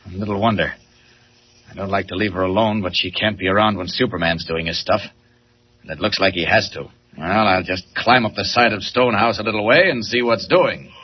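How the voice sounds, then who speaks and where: An American man playing a brave, reassuring reporter hero in a vintage radio serial, brisk and emphatic. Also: fairly low pitch, close to an everyday voice. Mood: resolute. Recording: muffled, noisy old radio recording.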